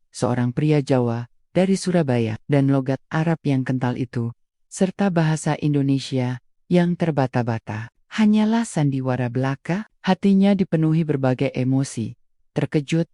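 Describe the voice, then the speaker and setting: A narrator reading a scripted story in an even, steady way. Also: mid-range voice, no room sound, clean studio sound.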